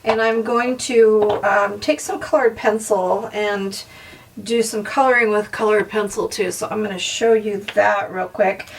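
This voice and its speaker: A woman with a slightly hoarse voice talks through a coloring tutorial at a slow, relaxed pace, pausing often with soft ums. Her pitch sits in a middle range, and she sounds a little distant from the microphone. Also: a little hiss or hum. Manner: soft, unhurried, with frequent ums and pauses. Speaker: art tutorial host. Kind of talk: casual coloring tutorial narration.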